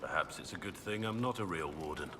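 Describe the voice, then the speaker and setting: An actor playing a wry, seasoned warrior in a video game, with a dry, understated delivery. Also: male voice, deep voice, a little fast, natural voice. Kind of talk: video game cutscene acting. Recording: clear background noise.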